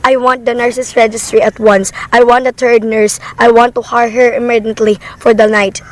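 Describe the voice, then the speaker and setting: A woman's voice: fairly high pitch, flat delivery, moderate pace, a little hiss or hum, natural voice, poor audio. A woman playing a nervous, demanding invalid wife alone at home in a radio play, with an imperious, fretful manner. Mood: impatient, demanding.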